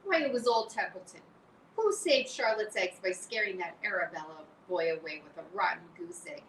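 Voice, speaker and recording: A woman's voice: on the low side, expressive, moderate pace, fairly bright tone. A woman voicing a lazy, self-pitying male rat who grumbles and mocks sarcastically, read as an audiobook. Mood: sarcastic. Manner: sarcastic, mocking, growling. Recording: roomy, distant mic, faint hiss.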